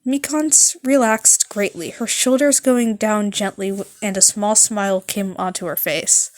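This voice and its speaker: A woman narrating fan fiction as an amateur reader, halting at times and correcting her stumbles. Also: medium pitch, steady tone.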